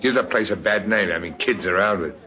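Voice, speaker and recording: British barman for a male actor: blunt, wary, lower-pitched, dull vintage radio recording. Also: brisk, natural voice. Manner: slangy, blunt. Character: gruff, wary working-class pub barman. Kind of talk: 1950s radio drama acting. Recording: muffled old radio recording.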